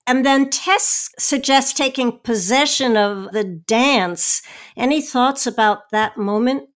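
A woman's voice: a little high, takes it slowly. A woman hosting a conversational radio interview. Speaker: host.

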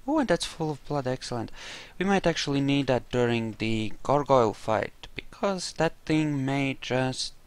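A man's voice: mid-range voice, lively intonation, normal speed, hardly any pauses, clean tone, dry recording. A man giving casual commentary on a role-play game playthrough, musing and thinking aloud as he goes.

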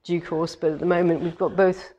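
A woman with a head cold, slightly hoarse, hosting a rambling, cheerful live-stream chat from a large quilting showroom. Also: fairly low pitch, level tone, a little fast, warm tone, muffled recording. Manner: chatty and cheerful, rambling with many ums. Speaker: quilting shop owner hosting a live demo. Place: large quilting showroom with longarm machines, indoors. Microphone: camera on a tripod a few metres away.

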